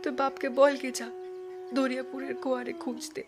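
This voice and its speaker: High-voiced anxious little village girl. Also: little pitch movement, moderate pace. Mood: anxious, insistent. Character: ten-year-old village girl, dutiful, anxious poor daughter. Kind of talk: audio drama acting.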